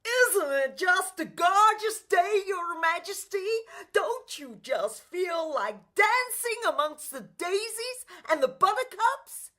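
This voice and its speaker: Male court jester, played by a woman, excitable, exaggerated, high, bright, pleading, radio play. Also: slow pace, few pauses, exaggerated voice. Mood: showy cheer. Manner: sing-song, exaggerated, pleading. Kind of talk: stage musical radio play acting.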